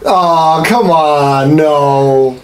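A man in his thirties chatting informally as a podcast co-host, halting but emphatic, in a small room. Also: fairly high pitch. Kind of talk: casual chat about games and films. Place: small home studio room, indoors.

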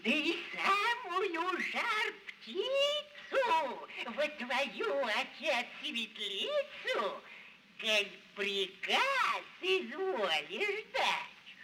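An actor playing a sly, scheming old courtier in a 1940s cartoon, with an insinuating, theatrical delivery in rhymed verse. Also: male voice, very high voice, wildly swooping pitch, very slow, exaggerated voice. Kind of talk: 1940s animated film acting in rhymed verse. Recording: noisy old 1940s film soundtrack, distant.

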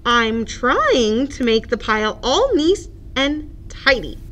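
A woman's voice: a little high, sing-song, takes it slowly, a bit bright, full character voice. A woman voicing a fussy, polite, earnest male hippo in a children's storybook, given to exclaiming. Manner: exclamatory, huffy, courteous. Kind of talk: children's storybook read-aloud.

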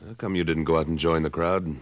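A hard, blunt cattleman out of an old radio western with a very low voice. He keeps pushing with short, pointed questions. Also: steady tone, fast talker, lo-fi sound. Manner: blunt, pressing. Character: tough male cattle rancher and ex-cavalryman. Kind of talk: 1950s radio drama acting.

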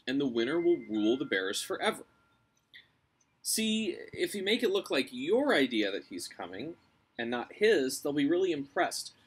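A man voicing a brave, cunning young girl, coaxing and deferential as she flatters. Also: fairly high pitch, breathy edge, pitched above the performer's own voice, heavily acted. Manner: coaxing, deferential, quick-thinking.

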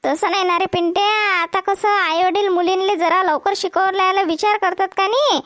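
A woman's voice: very high-pitched, steady tone, moderate pace, a bit bright, dry recording, clean studio sound. A woman voicing a cheeky, boastful young village woman in a comedy cartoon, arguing in a Vidarbha Marathi accent. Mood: argumentative. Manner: argumentative, self-satisfied.

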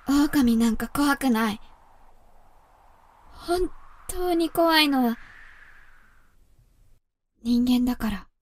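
Woman as a high-voiced, wistful young girl. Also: very slow, pauses often, exaggerated voice. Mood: resolute. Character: wistful, resolute girl who has turned man-eater. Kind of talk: Japanese audio drama acting.

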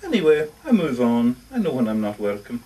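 Man reading as lonely awkward lodger, very animated, distant mic. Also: mid-range voice, brisk. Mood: rejected, wistful. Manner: hesitant, confiding, defensive, self-correcting. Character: lonely, self-justifying, socially awkward older male lodger. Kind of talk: first-person short story monologue read aloud. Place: live reading in a bookshop.

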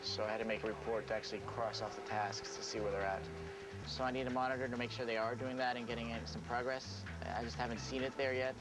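Brief: brisk, earnest male interviewee, mid pitch, music bed. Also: level tone, heavy background noise. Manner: earnest, self-critical, explains in detail. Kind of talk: documentary interview.

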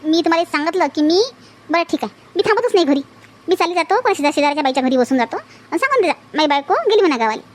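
A woman playing a stubborn, sharp-tongued village wife in a cartoon comedy, scolding defiantly with a Varhadi Marathi accent. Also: very high-pitched, sing-song, fast.

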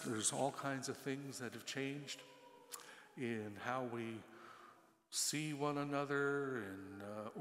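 A man in his sixties, a priest preaching a homily, pausing with emphasis between listed words. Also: mid-range voice, fairly bright tone. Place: large church, at the ambo. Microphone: gooseneck pulpit mic. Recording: echoey church with long reverb.

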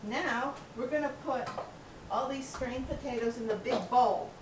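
A woman's voice: a little high, a little slow. An older woman chatting casually while cooking in her home kitchen, telling her helper what to do. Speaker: home cook showing a recipe. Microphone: camera across the room. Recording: echoey kitchen, camera far off, noisy.

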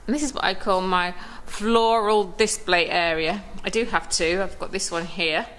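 A woman giving a casual walking tour of her shop and café, filmed on a handheld phone. She chats in a relaxed way and laughs now and then. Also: medium pitch, moderate pace, poor audio. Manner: chatty and relaxed, with small laughs. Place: small shop and café, indoors and on the pavement outside. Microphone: handheld phone camera.

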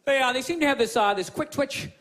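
A man putting on a halting middle-aged male sports analyst who explains a theory with lots of "uh"s. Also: very high-pitched, fairly bright tone. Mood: pedantic. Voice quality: halting, full of "uh"s.

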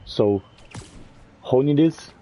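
A man's voice: mid-range voice, slow, muffled recording. A man whose first language is Portuguese, speaking English with a Portuguese accent, casually chatting with viewers while playing a game. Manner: relaxed, chatty, answering viewers. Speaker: gameplay streamer.

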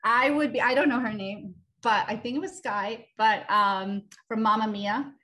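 A woman in her thirties from India, an author speaking casually on a panel over a video call, animated and full of fillers. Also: a little high, moderate pace. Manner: animated, gestures with hands, many fillers. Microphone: video call webcam.

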